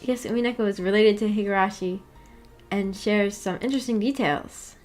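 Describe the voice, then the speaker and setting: A woman's voice: mid-range voice, moderate pace, a little mellow, soft background music. A woman hosting a book club livestream, chatting casually and reading out viewer comments in a giggly, rambling, self-deprecating way. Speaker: livestream host of a visual novel book club.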